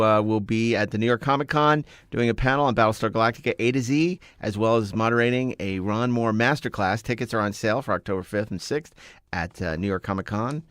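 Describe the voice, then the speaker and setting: A man's voice: fairly low pitch, fairly bright tone, smooth, no echo. Dry-sounding male podcast host. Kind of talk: casual podcast panel talk.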